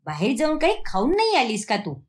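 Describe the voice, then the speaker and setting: A woman's voice: high voice, huge pitch swings, fairly quick. A woman voicing a strict, exasperated middle-aged mother in a cartoon moral story, with an emphatic scolding manner.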